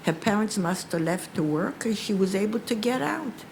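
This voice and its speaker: An older woman who grew up speaking Polish gives a personal testimony in English. Her phrasing is halting and a little broken, and she often repeats a word before moving on. A faint noise sits under her voice, which is pitched in a middle range. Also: animated, creaky, darkish tone. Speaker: Holocaust survivor speaker.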